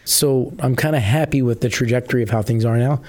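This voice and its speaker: A middle-aged man from New Jersey, a musician guest with a husky voice, chatting casually and rambling with many fillers. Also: medium pitch, a little fast, a little mellow. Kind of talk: casual interview chat.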